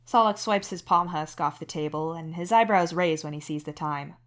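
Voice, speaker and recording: A woman's voice: low-pitched, normal speed. A woman reading scripted fiction aloud as the narrator. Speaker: podfic narrator and author.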